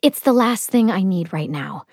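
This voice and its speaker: A woman's voice: medium pitch, animated, moderate pace, a little mellow, slightly rough, no room sound, studio quality. Woman playing a reluctant, defensive young indie musician. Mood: reluctant, dry.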